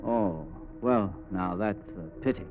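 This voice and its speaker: An actor with a British accent playing a mild, sympathetic, gently fussy gentleman in 1940s radio drama. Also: low voice, takes it slowly. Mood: placating. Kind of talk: 1940s old-time radio acting. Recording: muffled vintage radio recording with music.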